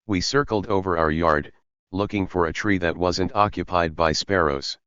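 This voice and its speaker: A man narrating an audiobook in a measured, even storytelling manner. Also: deep voice, smooth, no echo, highs cut off.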